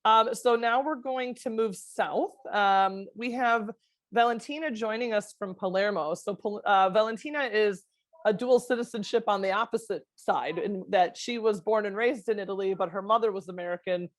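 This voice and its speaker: A woman's voice: mid-range voice, moderate pace, no echo. Chatty woman host, dry sound. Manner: enthusiastic, filler-heavy. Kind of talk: hosting an online meetup.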